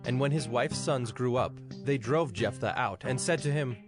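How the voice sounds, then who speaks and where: A man narrating scripture from a script in a measured, solemn manner. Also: medium pitch, music in the background.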